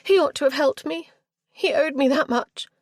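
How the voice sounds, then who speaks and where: A woman voicing a petulant, self-centred young Regency heiress who talks in a high, sulky, easily wounded way. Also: moderate pace, dry recording, clean studio sound. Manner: sulky, high-strung, rising to tearful.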